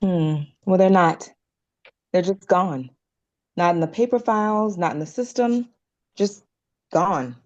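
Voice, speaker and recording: A woman playing a concerned, firm doctor in a drama table read, speaking in a measured, earnest way. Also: fairly low pitch, darkish tone, dull audio, close to an everyday voice. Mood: worried, resolute.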